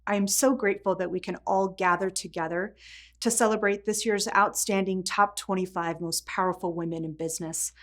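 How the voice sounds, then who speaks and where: A middle-aged woman from Poland, a native Polish speaker, giving an earnest prepared speech as a foundation board chair. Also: mid-range voice.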